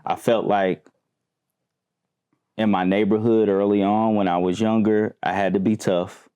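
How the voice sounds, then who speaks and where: A man's voice: lowish voice, level tone, unhurried, dark tone, smooth. A man hosting a discussion show, talking in a conversational way, affirming his guests and asking follow-up questions. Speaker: host.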